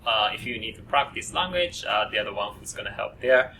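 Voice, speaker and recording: A man presents at a student orientation, pausing often and filling gaps with hesitations. His voice has a bright edge. He sits some way from the microphone, with faint noise behind. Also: on the low side, animated, vocal fry. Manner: halting, frequent uh fillers. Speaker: program coordinator at an orientation. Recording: distant mic with faint noise.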